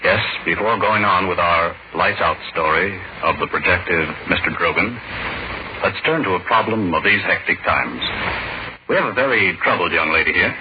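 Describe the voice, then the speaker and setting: A man announces in formal, measured 1940s radio style. His voice is very low, suited to scripted narration and sponsor reads. The recording is dull with clear noise. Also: animated.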